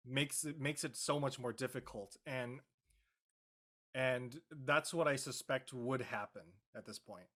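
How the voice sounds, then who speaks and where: A man with a medium-pitched voice answers interview questions as an actor. He talks off the cuff, haltingly, often searching for the right word. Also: pushed and bright. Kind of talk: unscripted interview talk.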